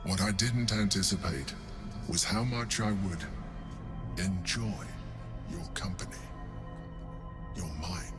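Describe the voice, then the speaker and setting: An actor playing a calm, calculating mind flayer in a video game, courteous, formal and measured. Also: male voice, sits low, over music. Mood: intimate longing. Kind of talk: dramatic video game dialogue.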